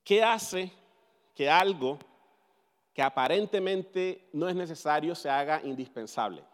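A man whose first language is English, preaching a sermon in Spanish in an animated way and asking the audience questions. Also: a little high, a little slow, big-room sound. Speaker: pastor.

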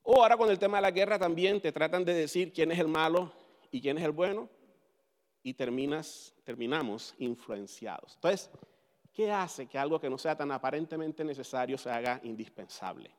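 Spanish-speaking pastor, native English speaker. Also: male voice, on the high side, normal speed, big-room sound. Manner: animated, asks the audience questions. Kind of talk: sermon.

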